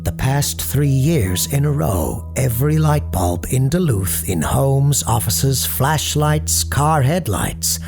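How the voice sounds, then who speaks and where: A man's voice: mid-range voice, lively intonation, takes it slowly, creaky, few pauses, music in the background. A man playing a courteous, grave parapsychologist who hosts a late-night paranormal radio show, speaking formally and with ominous understatement in an audio drama.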